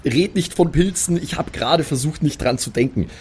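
A man's voice: a little high, a little fast, darkish tone, a little hiss or hum. A man improvising casually as a player in a tabletop role-playing game, hesitant and resigned in manner. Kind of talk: casual improvised in-character play.

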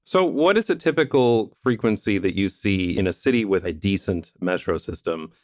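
A man's voice: lowish voice, expressive, moderate pace, a little mellow, dry recording, studio quality. A man hosting a casual podcast conversation, explaining things at length in a thoughtful way. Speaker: podcast host.

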